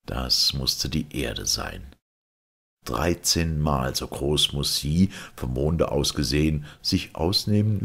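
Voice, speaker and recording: A man narrating a literary audiobook in a deliberate, dramatic storytelling manner. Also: rumbling low, creaky, breathy edge.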